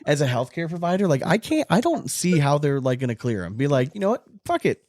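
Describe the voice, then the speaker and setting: A man's voice: a little high, sing-song, fast, darkish tone, no echo. A man hosting a casual sports podcast, talking in a blunt, profane way. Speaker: podcast host.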